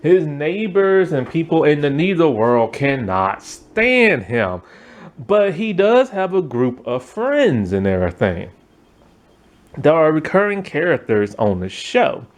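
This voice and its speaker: A man casually reviewing a cartoon, rambling with lots of "like" and "you know" and sounding enthusiastic. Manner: enthusiastic, lively pitch swings.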